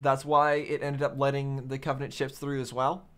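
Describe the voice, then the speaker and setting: Man, middle-pitched voice, reaction host, casual commentary, thinking aloud, repeated phrases. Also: completely flat delivery, moderate pace, a bit bright, clear, resonant tone. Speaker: host of a game reaction channel.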